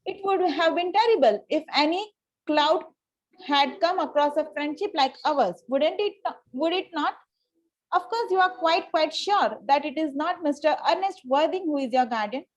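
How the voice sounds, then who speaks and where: Woman playing a high-pitched haughty young Victorian lady. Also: expressive, normal speed, highs cut off. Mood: prim, confiding. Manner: formal, stumbles over lines. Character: haughty young upper-class Victorian lady, coolly polite. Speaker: table-read participant. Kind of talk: amateur reading of a Victorian comedy play.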